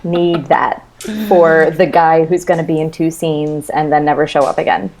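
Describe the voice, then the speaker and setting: A woman's voice: deep voice, flat delivery, moderate pace, crowd noise. A woman chatting casually as a guest in a book discussion, with a blunt, comically complaining manner. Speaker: podcast guest. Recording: clearly noisy recording with clicks.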